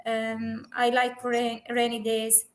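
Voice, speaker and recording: Student, woman, slow careful delivery, lots of fillers, video call. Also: on the high side, monotone. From Syria. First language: Arabic. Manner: hesitant, many pauses and fillers. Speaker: English student. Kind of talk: online conversation lesson.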